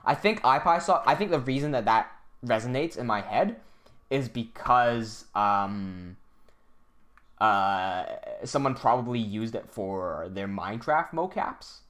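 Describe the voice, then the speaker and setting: A young man from Hong Kong talks through his ideas on a casual livestream at a middle pitch. He restarts his sentences often and thinks out loud as he reads from the chat. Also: lively intonation, unhurried. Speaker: animator hosting a livestream.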